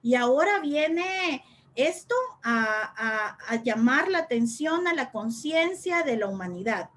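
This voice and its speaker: A woman's voice: sits high, animated, slow pace, fairly bright tone. A woman teaching a spiritual study class, speaking reflectively and repeating phrases for emphasis. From El Salvador.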